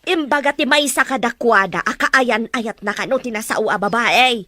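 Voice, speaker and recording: A woman playing an indignant, meddling mother who is quick to scold in a family melodrama. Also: a little high, sharp tone, no room sound. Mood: gossiping, indignant. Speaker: actor. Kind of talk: melodrama dialogue.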